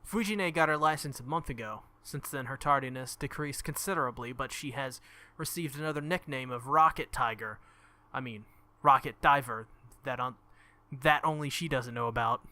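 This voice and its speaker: Very bright-voiced man narrating a game. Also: mid-range voice, normal speed. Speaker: gaming video host. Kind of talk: visual novel playthrough, reading narration aloud with casual reactions. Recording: music behind the voice. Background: game music.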